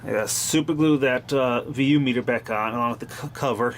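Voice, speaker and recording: Clear background noise sits under this amateur recording of a man talking. His voice is mid-pitched, and he narrates hands-on repair work in a casual, unscripted way. The delivery is plain-spoken and informal, like a hobbyist explaining things as he works. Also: brisk. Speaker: hobbyist repairer of vintage tape machines.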